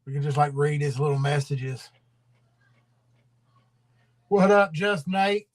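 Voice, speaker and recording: A man's voice: a little high, slow, poor audio. A man hosting a casual livestream, rambling and drawling, his voice trailing off into mumbles. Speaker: livestream host.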